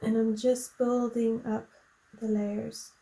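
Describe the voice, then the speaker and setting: A woman with a croaky, gravelly voice talks slowly and gently while she colors at her desk. Her tone is mellow and fairly high, and a lingering cough roughens it. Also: level tone, rough recording. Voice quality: slow, soft-spoken and gentle. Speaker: coloring tutorial host. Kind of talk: relaxed chatty tutorial.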